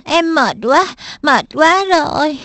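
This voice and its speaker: A woman's voice: sits high, expressive, slow pace, a crowd in the background. A woman voicing a whiny, discouraged little boy. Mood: exhausted. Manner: whiny, self-doubting. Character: timid little boy, younger brother, easily discouraged. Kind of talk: Vietnamese cartoon dub.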